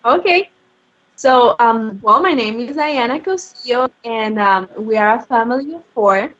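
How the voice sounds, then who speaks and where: Slow, hesitant woman. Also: a little high, animated, fairly bright tone. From Mexico. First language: Spanish. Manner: hesitant, many ums. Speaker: guest. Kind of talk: casual interview answers. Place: home. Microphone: video call. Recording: dull lo-fi video call audio.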